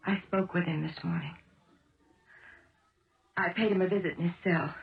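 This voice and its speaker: An American actress playing an earnest, persistent detective's wife in a 1970s radio drama, speaking in a deliberate, pleading way. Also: female voice, fairly low pitch, fairly even intonation, gravelly. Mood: confessing. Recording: echoey, dull old radio broadcast.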